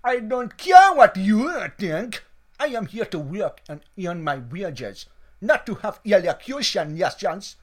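A man's voice: high-pitched, very animated, a little slow, heavily acted. Rude, sarcastic waiter, played by a man. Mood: defiant. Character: surly, insolent, short-tempered male waiter. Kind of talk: adult comedy sketch acting.

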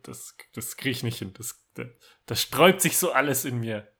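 Man, gravelly husky voice, laughs a lot, short chime-ins. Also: mid-range voice, expressive, normal speed, bright, forceful tone. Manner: laughs often, short interjections. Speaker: podcast co-host. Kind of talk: casual chat.

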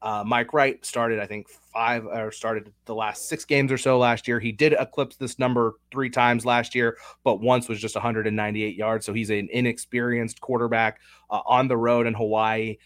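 A man with a head cold co-hosting a casual sports betting podcast, talking through picks in a measured, analytical way. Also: mid-range voice, level tone, a bit bright, no room sound. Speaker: podcast co-host.

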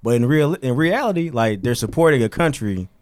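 Fast-talking man in dry close sound. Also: mid-range voice, expressive, a little mellow, no echo. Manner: quick, slangy, opinionated banter. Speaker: podcast co-host. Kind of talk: casual chat.

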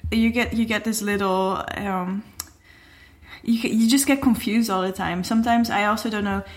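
A woman's voice: medium pitch, steady tone, normal speed. A young adult woman with a Dutch accent, chatting casually and laughing often, with many fillers. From Netherlands. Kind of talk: casual chat.

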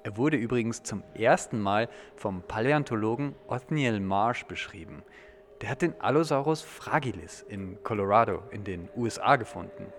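A man acting an eager, excitable young dinosaur enthusiast in a youth radio play, explaining things dramatically. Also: on the low side, normal speed, faint music behind. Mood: eager, awed. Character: eager, excitable young male dinosaur fan named Michel. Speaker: actor in an educational audio drama.

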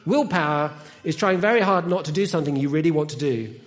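A man's voice: a little high, animated, fast, big-room sound, lightly acted. A man voicing an earnest, sensible frog from a children's storybook in an emphatic, instructive way. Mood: firm.

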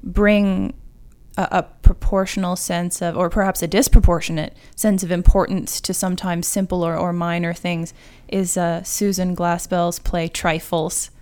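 A woman's voice: lowish voice, little pitch movement, moderate pace, a little mellow, clear, resonant tone. A woman writer talking casually about fiction as a guest on a discussion podcast.

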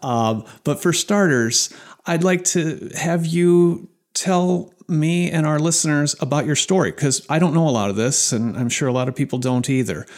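Curious, unhurried podcast host. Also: medium pitch. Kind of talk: interview conversation.

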